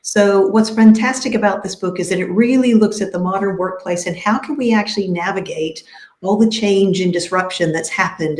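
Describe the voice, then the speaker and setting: A woman's voice: mid-range voice, darkish tone. Woman, career coach, enthusiastic, conversational, video call, echoey room. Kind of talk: live panel discussion.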